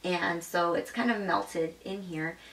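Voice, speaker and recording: A woman's voice: lowish voice, steady tone, measured pace, vocal fry, a bit bright, reverberant, far from the mic, poor audio. Bubbly young woman reviewing makeup. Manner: bubbly and gushing, demonstrating makeup while talking. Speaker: beauty vlogger. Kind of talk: casual product review. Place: home makeup room indoors.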